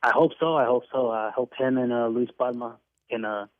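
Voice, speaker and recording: A man's voice: medium pitch, fairly even intonation, normal speed, dry recording. Young male caller on a phone line. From Honduran background. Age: 20s. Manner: casual and friendly, many fillers. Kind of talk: phone-in call. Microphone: telephone.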